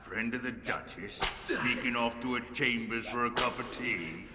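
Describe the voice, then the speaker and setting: A man voicing a crude, sneering, menacing jailer in a fantasy game, gravelly and taunting. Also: mid-range voice, moderate pace, over loud music, close to an everyday voice. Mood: taunting menace. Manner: taunting, leering. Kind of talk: fantasy video game acting. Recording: long reverb, very noisy with sound effects.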